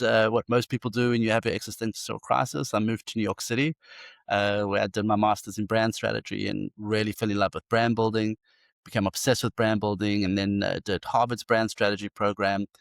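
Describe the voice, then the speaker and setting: A South African man in his forties speaks as an interview guest, and his voice sits low. He tells his stories eagerly, restarting phrases as he goes. The sound is dry and close, with no room around it. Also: flat delivery, vocal fry, no room sound. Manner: enthusiastic, fast storytelling with many restarts. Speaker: agency founder and CEO, guest. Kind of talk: casual interview talk.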